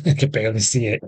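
A man's voice: on the high side, a little mellow, slight background noise. A man in his thirties giving casual, offhand and rambling commentary as he plays a video game. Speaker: gaming video host. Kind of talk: casual let's play commentary. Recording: faint game music behind. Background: video game audio.

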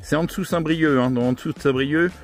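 A man's voice: on the high side, fast. A man talking casually as an amateur radio operator, trading call codes and signal reports. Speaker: amateur radio operator running contacts from a hilltop. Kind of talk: casual radio contact exchange with operator jargon. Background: music.

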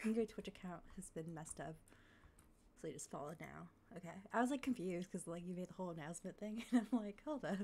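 A woman chats through a live game stream, her voice a little raspy from a coming cold. She rambles with fillers and thanks followers as they come in. Faint computer noise and keyboard clicks sit behind her. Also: fairly low pitch, a little fast, darkish tone. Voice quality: slightly raspy. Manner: chatty, thanks followers, thinks aloud with fillers. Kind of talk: casual live-stream chat.

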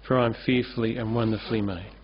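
Pastor preaching at a lectern. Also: male voice, lowish voice, fairly even intonation, quick pace. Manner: earnest, gestures freely while preaching at a lectern. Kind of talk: sermon. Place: church stage with curtain backdrop. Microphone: lectern-mounted microphone. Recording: dull lo-fi sermon recording.

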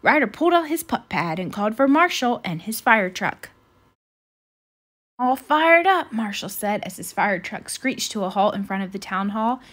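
A woman reading a children's storybook aloud in an expressive style. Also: medium pitch, normal speed, hardly any pauses. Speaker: children's book reader.

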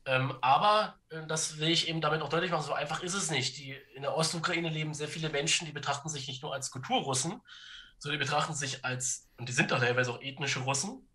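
A man speaking as a podcast guest, explaining history and politics conversationally in a halting way, searching for words and checking in as he goes. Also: a little high, pushed and bright.

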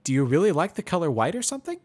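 Guy doing a curious lady pony, pitched way up, polite and lilting, storybook fantasy. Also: big pitch swings, racing, a little mellow, breathy edge. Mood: inquisitive. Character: polite, inquisitive female pony doctor-like questioner.

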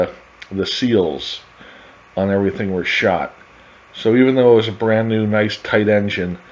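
A middle-aged man narrating his own restoration project over photos in a casual, halting way, with many fillers and restarts. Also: on the low side, darkish tone. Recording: dull lo-fi voice-over.

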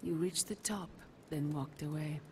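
An actress playing a proud, weary, philosophical woman assassin in a video game, speaking gravely and formally. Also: low voice, measured pace. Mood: earnest, weary. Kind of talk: dramatic video game cutscene acting. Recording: game audio with clear background noise.

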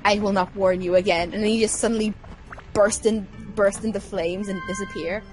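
A woman's voice: mid-range voice, steady tone, fairly quick, darkish tone, soft background music, poor audio. A woman chatting casually over a game, rambling with many fillers. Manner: rambling, lots of fillers, giggly storytelling.

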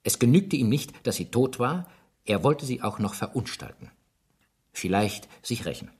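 An actor playing a persistent police inspector in a German radio drama, probing and insistent. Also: male voice, mid-range voice, lively intonation, normal speed, darkish tone, lightly acted. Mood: thoughtful, probing. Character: persistent male French police inspector questioning witnesses.

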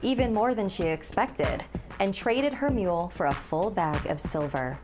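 A woman's voice: mid-range voice, expressive, normal speed. A woman in her thirties giving a scripted, dramatic narration as a scary-story teller. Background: background music.

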